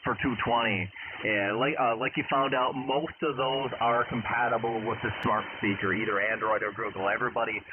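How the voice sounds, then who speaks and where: A man's voice: medium pitch, fairly even intonation, a little fast. A man chatting casually as an amateur radio operator, heard over a two-way radio transmission. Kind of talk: casual ham radio chat. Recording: noisy, narrow-band ham radio signal.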